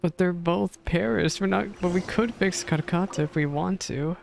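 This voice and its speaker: A game streamer giving casual, empathetic live reactions and musing haltingly. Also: medium pitch, gravelly. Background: game music.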